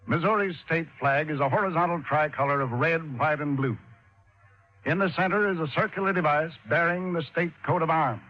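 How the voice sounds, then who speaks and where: A man's voice: on the high side, normal speed, soft background music. A man announcing and narrating from a script in a formal old-time radio style. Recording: dull old radio recording.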